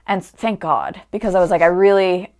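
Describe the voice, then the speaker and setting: A woman's voice: lowish voice, a little fast, darkish tone. A woman in her twenties, a guest actor talking casually on a podcast, chatty and full of restarts and fillers. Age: 20s. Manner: chatty, trailing restarts and many likes.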